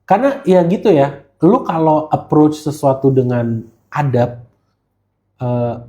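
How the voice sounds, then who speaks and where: A man's voice: a little high, unhurried, dark tone. An Indonesian man in casual podcast conversation, talking slangily and slipping English phrases into his speech. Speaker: guest gemologist who hosts live streams.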